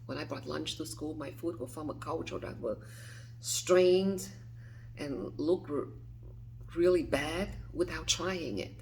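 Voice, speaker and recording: A woman in her fifties from Vietnam, speaking, answering a list of questions softly and hesitantly, often dropping to a whisper. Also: low voice, unhurried, creaky, slight background noise, poor audio. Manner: soft, hesitant, with frequent restarts and whispered phrases. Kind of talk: reading and answering interview questions.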